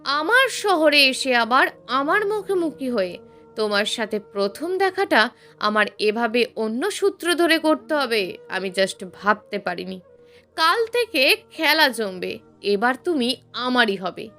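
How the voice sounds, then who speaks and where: A woman's voice: fairly high pitch, big pitch swings, unhurried, bright, forceful tone, faint music behind, heavily acted. A woman voicing a scheming young female villain in an audio story, dramatic in delivery. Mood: scheming, menacing.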